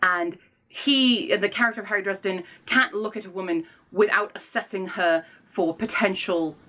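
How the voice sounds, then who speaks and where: A woman's voice: mid-range voice, moderate pace, bright, forceful tone. A woman speaking casually and informally as a video host, effusive and opinionated in the way she reviews. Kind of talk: casual chatty book vlog.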